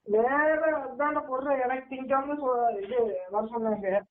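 A man's voice: very high voice, moderate pace. A young man chatting casually with his friends. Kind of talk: casual chat. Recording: dull lo-fi recording.

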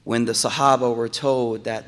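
A man giving a Friday sermon, moving from calm storytelling to loud, emphatic preaching and repeating phrases for emphasis. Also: mid-range voice, moderate pace. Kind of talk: impassioned sermon. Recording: echoey hall reverb.

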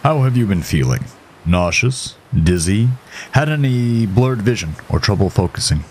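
A man's voice: lowish voice, sing-song, moderate pace, a little mellow, slight background noise, voice pushed up. A man voicing a weary, evasive, intense doctor with a musing manner in a horror story. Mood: probing.

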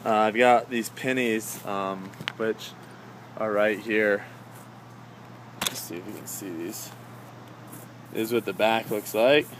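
A man's voice: fairly low pitch, slow, long pauses. Distracted young man vlogging over background noise. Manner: easily distracted, chatty, exclamatory. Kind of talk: casual rambling vlog to camera. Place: on the go, car then grocery store. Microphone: handheld camera he sets down. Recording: clear ambient and vehicle noise.

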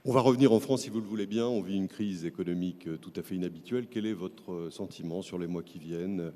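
A man's voice: low voice, quick pace, mellow. A man interviewing a guest on a conference stage, hesitant with fillers. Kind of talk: onstage interview questions. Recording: hall reverb.

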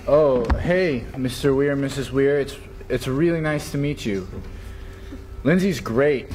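A man's voice: medium pitch, measured pace, darkish tone, slight background noise, close to an everyday voice. A young actor reading a laid-back teenage boy, hesitant and eager to please.

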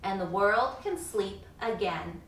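Boastful storybook owl, played by a woman: melodramatic, grandly slow delivery, roomy recording. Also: medium pitch, expressive. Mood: satisfied, hushed. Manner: theatrical, pompous, drawn-out emphasis. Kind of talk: picture-book storytelling. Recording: roomy, distant mic, faint background noise.

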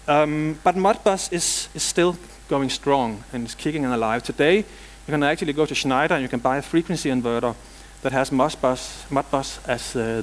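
A middle-aged man from Denmark with a Danish accent, giving a technical conference talk in a large hall with dry jokes and casual asides. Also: on the high side. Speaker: conference speaker.

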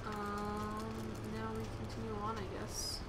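A woman's voice: mid-range voice, completely flat delivery, a little slow, background noise. A young woman teasing her friend and directing their co-op game. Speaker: gamer. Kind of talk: casual co-op gameplay chat. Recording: distant voice under loud game music.

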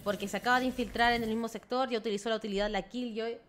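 A young Chilean woman with a Chilean Spanish accent and some Argentine touches, giving live esports commentary from an indoor room at home, quick to burst into excited exclamations. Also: fairly high pitch. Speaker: esports caster. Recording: clear background noise from game sounds.

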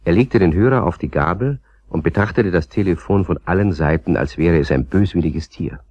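A man's voice: low-pitched, a little fast, dry recording. A man narrating a children's radio play as its storyteller, in scripted storybook style. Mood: calm storytelling. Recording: dull old cassette recording.

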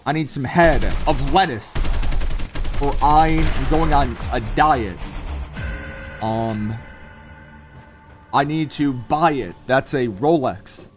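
A man's voice: medium pitch, takes it slowly, pauses often, over music. A man doing casual, slangy gameplay commentary as a streamer, rambling through playful joking riffs. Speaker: gaming streamer. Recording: gunfire and game noise behind. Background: video game gunfire and ambience.

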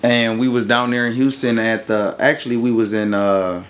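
A man's voice: mid-range voice, flat delivery, moderate pace. A young man telling a personal story as an interview guest in a casual, colloquial, matter-of-fact way. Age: young adult.

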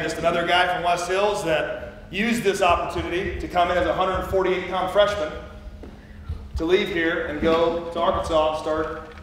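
A man's voice: on the high side, a bit bright, a little hiss or hum. A man, a college football coach, talking proudly and emphatically in a weight room. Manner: proud, emphatic about a former player. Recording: echoey room from far off.

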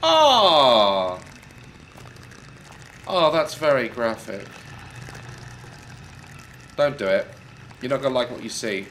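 A man's voice: mid-range voice, sing-song, slow, long pauses, a little hiss or hum. A man in his thirties commenting jokily on a horror game, yelping at scares, on a headset mic. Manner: jokey, yelps and shouts at scares. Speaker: gaming youtuber.

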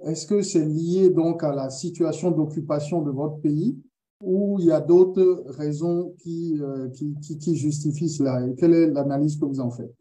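A man's voice: on the high side, steady tone, normal speed, warm tone, rough recording. A male interviewer asking a long question, hesitating with many fillers. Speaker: interviewer host.

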